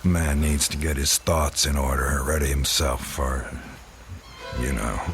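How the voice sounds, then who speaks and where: An actor playing a taunting, folksy soldier in a radio drama, with a drawling, mock-friendly manner. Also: male voice, a bass voice, steady tone, a little slow. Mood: mock-friendly, menacing. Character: taunting, folksy, cruel soldier guarding a condemned man.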